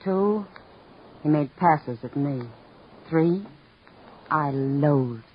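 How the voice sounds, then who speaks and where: An actress in a 1950s radio drama playing a cool, blunt, unimpressed married woman with a deliberate delivery. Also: deep voice, lively intonation, full character voice. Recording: muffled, hissy vintage radio recording.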